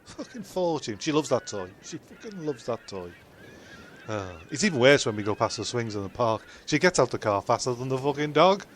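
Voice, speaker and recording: A man's voice: medium pitch, very animated, a little fast, slight background noise, lightly acted. A man voicing a frustrated, flustered male stand-up comic who swears a lot.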